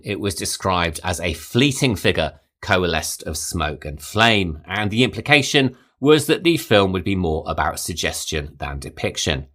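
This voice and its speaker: A man hosting a podcast, reading a scripted film history in a measured, storytelling way. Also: low-pitched, pushed and bright. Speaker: podcast host. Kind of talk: scripted narration.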